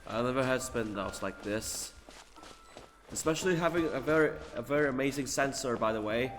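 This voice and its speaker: A man's voice: mid-range voice, a little fast, fairly bright tone, faint music behind. A man giving casual commentary as he streams a video game.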